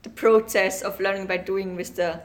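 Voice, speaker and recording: A woman's voice: mid-range voice, steady tone, moderate pace. Young woman from liechtenstein, eager, lots of "like" and restarts. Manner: enthusiastic, restarts and fillers like "like". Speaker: podcast co-host, teacher.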